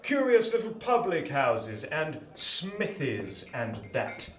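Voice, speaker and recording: An actor playing a surly, insolent young gentleman in a radio play, with an upper-class English accent and a curt, sneering manner. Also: fairly high pitch, sing-song, takes it slowly, heavily acted. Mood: bored disdain. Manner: curt, sneering, drawling disdain. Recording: roomy, echoing sound.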